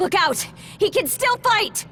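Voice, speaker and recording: A very high-pitched, spirited young fighter. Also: female voice, unhurried, a little hiss or hum, exaggerated voice. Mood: alarmed. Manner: excitable, urgent, exclaiming. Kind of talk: video game battle cutscene acting.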